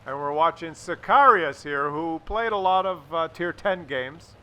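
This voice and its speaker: A man's voice: a little high, expressive, unhurried, pushed and bright, smooth. A man giving casual, rambling video game commentary in a conversational, mildly sardonic way. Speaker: gameplay commentator.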